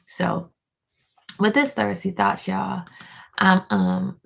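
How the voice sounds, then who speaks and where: Hoarse, gravelly-voiced woman giving a pep talk. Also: medium pitch, little pitch movement, takes it slowly, a bit bright. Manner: preachy and encouraging, repeats lines for emphasis, addresses listeners as y'all. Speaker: life coach hosting a weekly motivational show. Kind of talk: motivational pep talk to camera.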